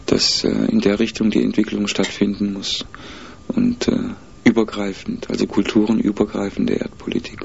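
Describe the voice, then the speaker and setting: A man answering interview questions in a measured, hesitant way, with frequent pauses and fillers as he thinks aloud. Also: on the low side, monotone, gravelly, a little mellow, a little hiss or hum. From mixed German, Spanish, Italian and Peruvian roots. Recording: dull lo-fi recording.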